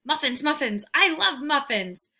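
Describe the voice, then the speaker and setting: A woman voicing an excitable, food-mad young dog in a storybook, exclamatory and dramatic. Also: high-pitched, measured pace, pitched above the performer's own voice, exaggerated voice. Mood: thrilled. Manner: exclamatory, eager, pleading when whining.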